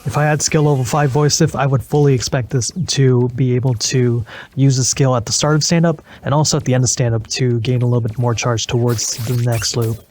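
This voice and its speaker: A man's voice: mid-range voice, steady tone, a little fast, darkish tone. A man giving casual, informal commentary and advice on a mobile game, a little hesitant in his phrasing. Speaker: gaming video creator.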